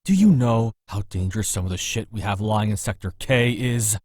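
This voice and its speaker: A man's voice: fairly low pitch, normal speed, darkish tone, lightly acted. A man playing a flustered, blustering bluffer posing as a scientist in a visual novel, speaking emphatically. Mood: exasperated, urgent.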